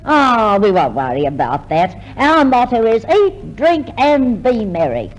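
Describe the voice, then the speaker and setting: A woman playing a cheerful, excitable friend with an eager, exclamatory delivery in an old radio comedy. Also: fairly high pitch, measured pace. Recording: noisy old radio show recording.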